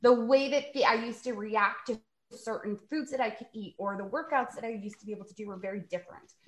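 Woman in her 40s, chatty storyteller, kinda high voice, roomy echo. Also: brisk. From New York. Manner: chatty storytelling, laughs while acting out quotes. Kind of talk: casual podcast chat. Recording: echoey room.

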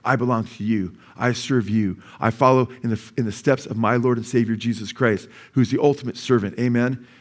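A man's voice: medium pitch, steady tone, brisk. A male pastor with a Californian accent preaching a sermon to a church congregation. He explains word meanings patiently, then builds to emphatic peaks and calls out for amens. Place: church sanctuary.

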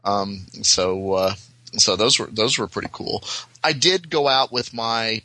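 Bright-voiced man chatting, halting delivery. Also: on the low side, poor audio. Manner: halting, repeats words while searching for them. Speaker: guest on a podcast. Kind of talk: casual chat.

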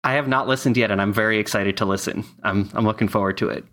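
A man's voice: lowish voice, fast, a bit bright. A man co-hosting a podcast, chatting casually and reading out announcements. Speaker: podcast co-host.

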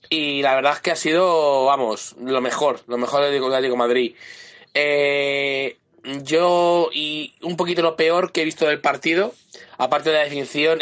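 A man's voice: fairly high pitch, fairly bright tone. A man with a sore throat, sending a rambling voice message to a fan podcast. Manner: rambling, loses his thread and restarts often. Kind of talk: casual voice message.

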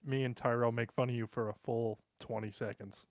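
A man's voice: mid-range voice, steady tone, a little fast, no echo. A man chatting and bantering casually as a podcast co-host, with a crude, joking manner.

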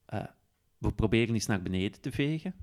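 A man with a Flemish accent presents a software walkthrough step by step, in an informal, explanatory way with frequent tag words. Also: fairly low pitch, lively intonation, a little fast. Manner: informal, explanatory, often tagging sentences with "hè". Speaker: podcast presenter demonstrating an app.

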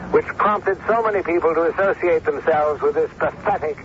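A man's voice: fairly high pitch, brisk, close to an everyday voice. A man playing a pompous theatre critic delivering a precise, withering broadcast review in a radio drama. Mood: scornful, grandiose. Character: pompous, scathing male theatre critic on air. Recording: dull, faintly noisy radio recording.

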